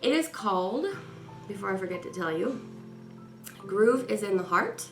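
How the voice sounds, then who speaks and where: A woman's voice: medium pitch, lively intonation, moderate pace. A woman vlogger giving a chatty, rambling product review while stuffy and congested from a cold. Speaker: beauty vlogger. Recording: echoey room with faint music.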